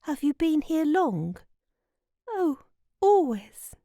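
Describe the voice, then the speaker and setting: A woman's voice: high voice, very animated, takes it slowly, mellow, no echo, studio quality, voice pushed up, full character voice. A woman voicing a dreamy but sensible young girl in a children's storybook, earnest and questioning. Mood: dreamy, contented.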